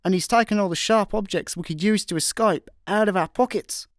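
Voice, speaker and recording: A man playing a hapless, sardonic space layabout, deadpan and bemused, in a comic sci-fi radio play. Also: sits high, a little fast, no echo, clean studio sound, close to an everyday voice. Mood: exasperated.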